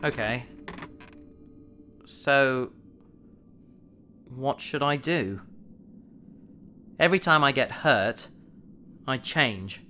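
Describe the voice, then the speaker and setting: A man's voice: mid-range voice, expressive, extremely slow, pauses often, sharp tone, a little hiss or hum, heavily acted. A man playing an earnest, stoic space hero who narrates his own science fiction audio drama. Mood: subdued, resigned. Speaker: protagonist and narrator.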